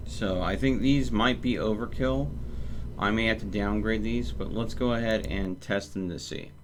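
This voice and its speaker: A man doing casual live gameplay commentary, thinking aloud and explaining as he goes, often halting mid-sentence. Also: on the low side. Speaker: video game streamer. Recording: clear steady background noise.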